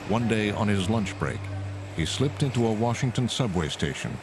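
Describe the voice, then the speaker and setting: An American man reading scripted documentary narration in a measured, serious way. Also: fairly low pitch, sing-song, background noise. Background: background music.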